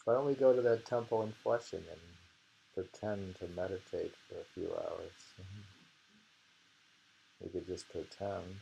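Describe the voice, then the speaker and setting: A man chatting casually and reading short poems aloud, musing and pausing between thoughts. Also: low-pitched, steady tone, creaky, dark tone, muffled recording, a little hiss or hum. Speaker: poetry reader and discusser. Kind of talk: casual chat with slow poem reading.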